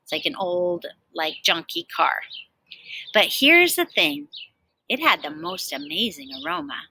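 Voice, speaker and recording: A woman's voice: on the low side, very animated, measured pace, gravelly, sharp tone, slight background noise. A woman reading a children's storybook aloud expressively, like a teacher, with emphatic stresses and chatty asides.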